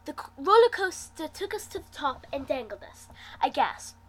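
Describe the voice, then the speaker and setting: A woman reading fan fiction aloud in an expressive, dramatic manner, acting out the dialogue as she goes. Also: sits high, normal speed. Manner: animated, dramatic delivery. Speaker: amateur fan fiction reader, host.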